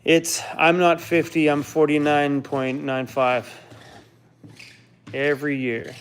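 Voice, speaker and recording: A man's voice: level tone, takes it slowly, smooth. A man in his fifties casually hosting a live stream and reading out viewer comments. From Canada. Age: 50s. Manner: rambling, talks to his dog in a high coaxing voice.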